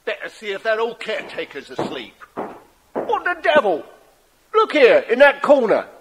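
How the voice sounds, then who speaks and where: A man playing a spiteful, bossy horse thief in a children's audio drama, rough and menacing in manner. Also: sits high, huge pitch swings, moderate pace, fairly bright tone, slight background noise, exaggerated voice. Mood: bossy, scheming.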